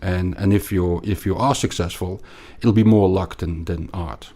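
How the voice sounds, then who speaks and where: A man with a Belgian accent explaining things as an interview guest, haltingly and emphatically, often repeating words. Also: sits low, fairly even intonation, mellow. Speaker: podcast guest, investment analyst.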